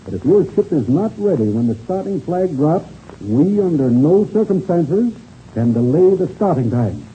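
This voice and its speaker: An actor playing a formal, courteous race official in a 1930s radio serial, speaking in deliberate speeches. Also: male voice, fairly high pitch, very animated. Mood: formal, firm. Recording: muffled old radio recording, faint noise.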